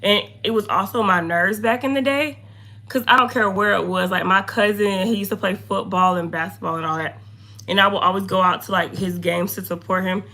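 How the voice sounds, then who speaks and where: A young woman with a hoarse, gravelly voice talks loosely and chattily to her live viewers, drifting from topic to topic. Her tone is bright and a little sharp, sitting at an ordinary pitch. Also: fairly even intonation, brisk. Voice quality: low, slightly deep voice. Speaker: makeup streamer. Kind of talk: casual livestream chat reading comments.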